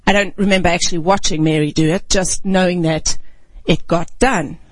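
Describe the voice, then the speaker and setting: A woman ministry speaker, raised outside the United States, gives a reflective devotional talk and reads scripture, often clearing her throat. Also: fairly low pitch, fairly even intonation, normal speed, dry recording.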